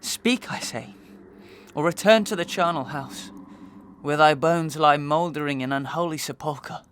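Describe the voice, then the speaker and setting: A man playing an anguished, haunted gentleman in a Victorian melodrama soliloquy, declamatory, halting and overwrought, over some background noise. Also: a little high. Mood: frantic, defiant. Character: anguished, haunted gentleman, despairing and half-mad.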